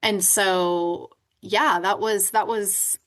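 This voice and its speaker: A woman's voice: medium pitch, steady tone, drawn-out words, a bit bright. Chatty young woman guest. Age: 20s. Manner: chatty, frequent "right?" and "like". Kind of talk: interview conversation.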